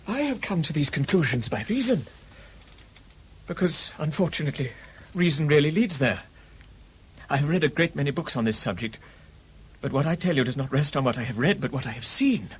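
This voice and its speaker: Haunted, embittered British recluse, husky and fairly high, animated swoops, formal grave intensity, radio mystery. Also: male voice, brisk, pauses often. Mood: grave insistence. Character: haunted, eccentric, embittered gentleman recluse, male. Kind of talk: British radio drama acting.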